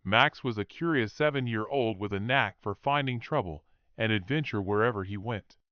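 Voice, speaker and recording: A man narrating a children's story for English learners in a measured, expressive way. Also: on the low side, no echo, highs cut off.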